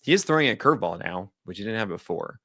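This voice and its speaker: Bright-voiced animated podcast co-host, man. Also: lowish voice, fast talker, husky, dry recording, studio quality. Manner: animated, joking, lots of "like" fillers. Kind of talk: casual baseball analysis chat.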